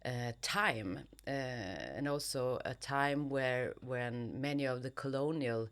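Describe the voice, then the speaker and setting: A woman with a Swedish accent giving reflective interview answers, hesitant and often pausing to search for words. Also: a bass voice. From Sweden. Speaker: interviewed novelist.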